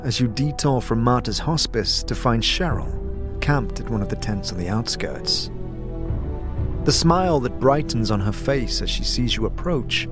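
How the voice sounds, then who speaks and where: A man narrating a dark fantasy audio drama in the second person, with measured, solemn, dramatic storytelling. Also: medium pitch, animated. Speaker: narrator and game master. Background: music.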